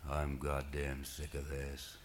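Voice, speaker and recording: A man voicing an angry old man of the sea with a thundery, rumbly, muttering voice. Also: completely flat delivery, takes it slowly, noisy background.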